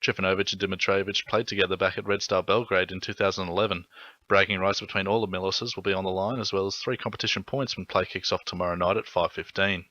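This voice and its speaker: Fast, forceful Australian man reading sport. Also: low voice, steady tone, dry recording, highs cut off. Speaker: radio sports reader. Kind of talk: scripted sports bulletin.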